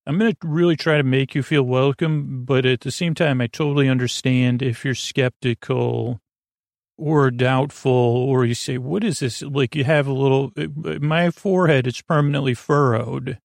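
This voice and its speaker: A man with a creaky voice hosting a sleep podcast, meandering haltingly and goofily through a bedtime monologue and often interrupting himself. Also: medium pitch, steady tone, dry recording, studio quality. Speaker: podcast host. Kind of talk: rambling bedtime monologue to help listeners sleep.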